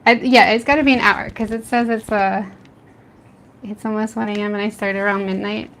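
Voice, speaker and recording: A woman's voice: mid-range voice, steady tone, a little fast. A woman from the East Coast of the United States with an American accent, chatting casually with viewers while she draws late at night at home and often laughing between remarks. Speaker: art streamer. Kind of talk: casual chat while drawing, answering live chat.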